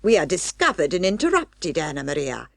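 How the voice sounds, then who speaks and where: A woman's voice: deep voice, wildly swooping pitch, fairly quick, gravelly, dry recording, studio quality, full character voice. A woman voicing a pompous, fussy old male rat in a storybook, ponderous, formal and disapproving. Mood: pompous, urgent. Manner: pompous, long-winded formal speech. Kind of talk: storybook character reading.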